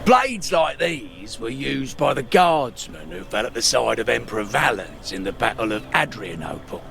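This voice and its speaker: Fawning, creaky, wildly swooping merchant. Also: male voice, medium pitch, very animated, normal speed, a bit bright, a little hiss or hum. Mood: fawning, eager. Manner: theatrical, obsequious. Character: fawning, sly male medieval antiques merchant. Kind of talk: video game voice acting.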